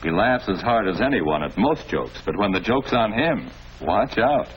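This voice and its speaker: Expressive low-voiced American schoolmaster. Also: male voice. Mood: patient, instructive. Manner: explanatory, measured, fatherly. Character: patient, avuncular male school principal or teacher. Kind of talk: 1940s educational film acting. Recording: noisy old 1940s film soundtrack.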